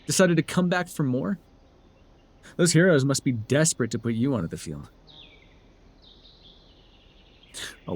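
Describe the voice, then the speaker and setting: A man's voice: medium pitch, slightly rough. A man playing a sardonic, telepathic supervillain in a dramatic audio roleplay, with a theatrical, taunting way of talking. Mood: mocking, smug. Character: sardonic male telepathic supervillain, menacing yet curious. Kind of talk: dramatic ASMR roleplay acting.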